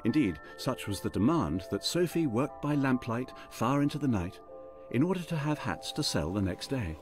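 An actor narrating a fantasy tale as storyteller in a scripted radio drama. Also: male voice, medium pitch, sing-song, normal speed, natural voice. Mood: storytelling. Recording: music under the voice. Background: music.

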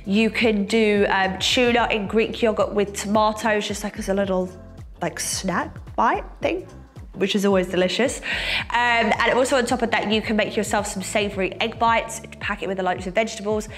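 A woman's voice: mid-range voice, moderate pace, soft background music. A young woman with a slight cold and a nasal edge, chatting casually to camera as a fitness coach, with lots of fillers. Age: young adult. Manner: chatty, many fillers, lively gestures. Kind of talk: casual sit-down chat to camera. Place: bright room indoors, seated.

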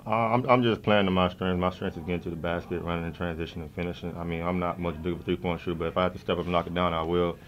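A young man, a college basketball player, answering press questions after a game in a soft-spoken, filler-heavy way. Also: deep voice, steady tone, very fast, few pauses, dull audio. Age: young adult. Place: press conference room. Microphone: table mics in front of him.

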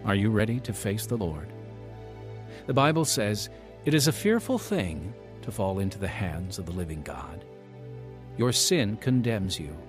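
A man hosting an evangelistic radio program, reading a script in an earnest, deliberate, clearly enunciated announcer style. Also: lowish voice.

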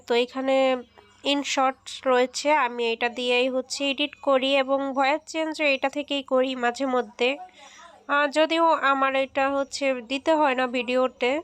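A woman's voice: sits high, steady tone, moderate pace, a bit bright, clear, resonant tone, no echo. A woman hosting a casual step-by-step app tutorial. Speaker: tech tutorial host.

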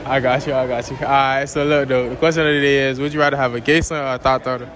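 A man's voice: mid-range voice, little pitch movement, quick pace. Young man running a street interview. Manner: laid-back slangy questioning. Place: outdoor mall and beach promenade. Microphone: handheld mic. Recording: background music and street noise.

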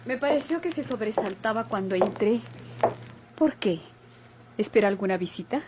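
A Mexican actress playing an inquisitive, gossipy hospital nurse in a classic radio soap, speaking formally and probingly. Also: female voice, medium pitch, lively intonation, moderate pace, natural voice. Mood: curious. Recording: old noisy radio drama recording.

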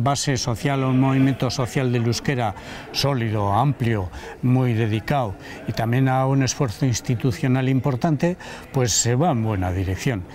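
A man's voice: mid-range voice, darkish tone. A middle-aged man explaining his experience in a reflective, explanatory way during an interview, recorded on a town street with a lapel mic. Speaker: interviewee, language learner. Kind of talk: casual interview answers.

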